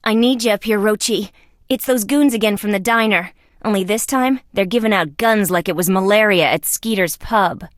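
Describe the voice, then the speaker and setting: A woman's voice: medium pitch, normal speed, no room sound, clean studio sound, natural voice. An actress playing a tough, sardonic young female police detective in a video game, with a wisecracking delivery. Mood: urgent, tense. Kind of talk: video game character lines.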